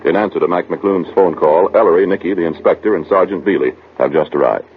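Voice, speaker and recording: Casting: man, 1940s radio pitchman, rapid husky delivery, thin old broadcast recording. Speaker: radio announcer. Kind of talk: 1940s radio commercial announcing. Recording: tinny, narrow-band old radio recording.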